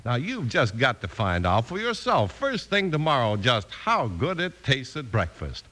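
A man's voice: medium pitch, wildly swooping pitch, a little slow, pushed and bright. A man with an American accent announcing in emphatic, polished 1950s radio style. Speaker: announcer and narrator. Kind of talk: 1950s radio announcing, scripted narration and sponsor pitch.

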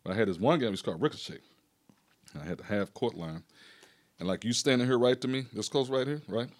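A man, a retired PE teacher telling stories in a rambling, animated way and laughing often. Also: mid-range voice, quick pace. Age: 60s. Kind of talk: casual storytelling conversation.

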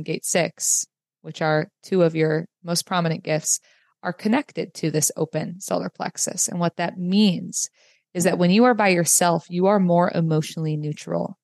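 A woman giving a guided reading in a calm, explanatory manner. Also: on the low side, gravelly, dry recording, clean studio sound. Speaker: human design reader, host.

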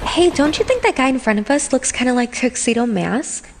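A woman voicing a sharp-tongued, short-tempered teenage girl in an anime fandub, scolding and wary. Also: sits high, animated, brisk, very noisy. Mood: teasing. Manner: scolding, snappy.

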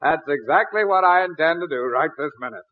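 A man's voice: a little high, lively intonation, a little fast, natural voice. An American actor playing a fussy, blustery middle-aged father in 1940s radio comedy, sputtering and theatrical. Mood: jovial. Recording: thin, tinny 1940s radio broadcast.

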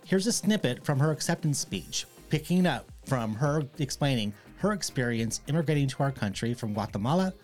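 A man's voice: medium pitch, expressive, brisk, few pauses, a little mellow. Guy cohosting a podcast, joky slangy banter, faint music under it. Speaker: podcast co-host. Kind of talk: casual podcast hosting and sponsor read.

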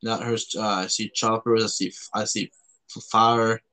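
A man from Canada hosting a casual podcast, talking in a halting way and often rephrasing himself. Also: fairly low pitch, little pitch movement, pushed and bright. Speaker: podcast host.

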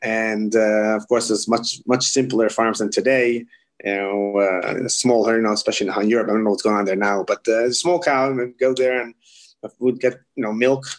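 A man's voice: fairly low pitch, flat delivery, normal speed. A man in his forties from the Netherlands with a Dutch accent, a rabbi talking casually in an interview, often repeating words and restarting. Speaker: kosher supervision rabbi.

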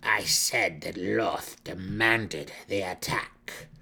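A man voicing a withered old female dark elf matriarch, sly and imperious, in a measured, mysterious fantasy audiobook delivery. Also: low-pitched, gravelly, husky, full character voice. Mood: stern, cross.

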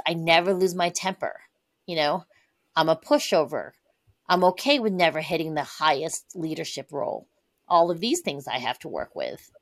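Middle-aged woman guest, candid and emphatic, slightly low voice, dry sound. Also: dry recording. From Taiwan. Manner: earnest, candid, animated emphasis. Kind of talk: conversational interview.

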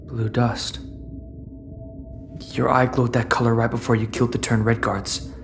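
An anxious, curious teenage boy in a sci-fi audio drama. He sounds hesitant and questioning in a medium-pitched voice, with long reverb and music behind him. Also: little pitch movement, unhurried, creaky. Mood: curious, wary. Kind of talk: audio drama acting with inner monologue.

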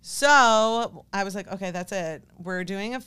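Very clear-voiced woman chatting casually. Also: mid-range voice, unhurried, pushed and bright. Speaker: podcast guest, fitness coach and business owner. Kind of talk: casual interview chat.

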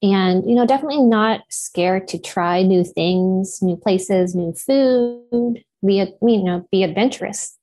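A woman in her thirties whose first language is Japanese speaks about her life in a reflective interview. She talks at a steady, considered pace and leans on "you know" as she gathers her thoughts. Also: medium pitch, a little slow, hardly any pauses. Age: 30s. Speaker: actor and guest.

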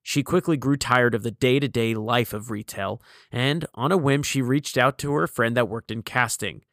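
A man hosting a podcast, reading a scripted introduction in a measured, reassuring way. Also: mid-range voice, fairly bright tone, no echo, clean studio sound. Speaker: podcast host. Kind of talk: scripted narration. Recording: music bed under voice.

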